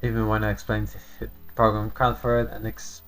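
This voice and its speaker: A man's voice: lowish voice, completely flat delivery, rough recording. Man streaming a game read-aloud over music. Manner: halting reader who repeats and rereads lines. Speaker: streamer reading and voicing a visual novel. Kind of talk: live game read-aloud with off-script asides.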